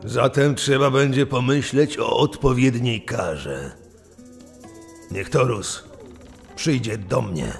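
A man playing the gruff boss of a prison colony in an audio drama, gravelly, curt, irritable and commanding. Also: mid-range voice, slow pace, long pauses. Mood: irritated, commanding. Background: background music.

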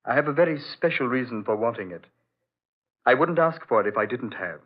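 An actor playing a troubled, evasive gentleman doctor in a 1940s-style radio horror drama, courteous and genial but guarded. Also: mid-range voice, lively intonation, fairly quick, lightly acted. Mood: hesitant, guarded. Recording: thin, phone-like old radio recording.

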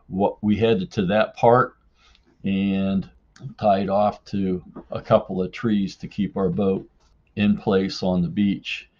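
A man telling a personal story casually in an unhurried, folksy way, as in a listener's voicemail. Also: deep voice, warm tone. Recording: dull, lo-fi voicemail-like sound.

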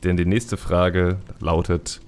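A man's voice: sits low, little pitch movement, slow, darkish tone. A man hosting a casual podcast, talking in a colloquial, exclamatory way. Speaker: podcast host.

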